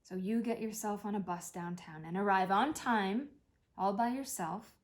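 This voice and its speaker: A woman reading a play as a dying older mother, wry, tender and apologetic, with a gentle, reflective way of talking. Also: mid-range voice, moderate pace, lightly acted. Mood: reminiscing.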